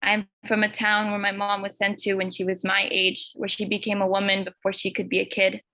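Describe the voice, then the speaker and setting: Teen girl reciting poetry, bright voice. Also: medium pitch, completely flat delivery, dry recording, low-quality recording. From Los Angeles. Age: teens. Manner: earnest, measured recitation. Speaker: youth poet. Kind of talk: spoken word poetry reading.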